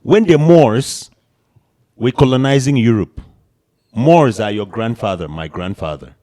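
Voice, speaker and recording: A man's voice: mid-range voice, expressive, measured pace, dark tone. A man born in Canada co-hosting a casual discussion, explaining history in a lecturing way with emphatic rises.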